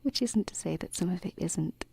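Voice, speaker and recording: A woman whispering softly and intimately into a close binaural microphone in an ASMR roleplay as a gentle, adoring alien princess, with hesitant pauses. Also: on the low side, expressive, vocal fry, husky, dry recording. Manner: soft, slow, hesitant phrasing with pauses.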